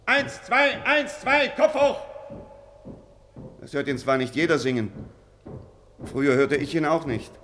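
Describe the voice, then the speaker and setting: An actor in a German radio play as a dutiful, haunted drill officer, shifting between barked commands and brooding, confiding talk. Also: male voice, a little high, expressive, measured pace, long pauses, fairly bright tone, slight background noise. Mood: barking, commanding. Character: barking drill officer, weary, haunted, dutiful, grieving father.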